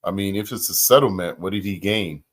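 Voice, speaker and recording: A man's voice: deep voice, a little fast, slightly rough. A man hosting a reaction channel, talking casually and musing aloud with rhetorical questions.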